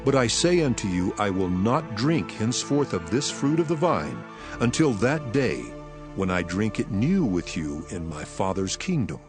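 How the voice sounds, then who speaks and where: Solemn authoritative teacher, lowish voice, lively intonation, measured archaic speech, scripture drama, strong music bed. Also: male voice. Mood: solemn, prophetic. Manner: solemn, measured, weighty delivery. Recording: voice over prominent music.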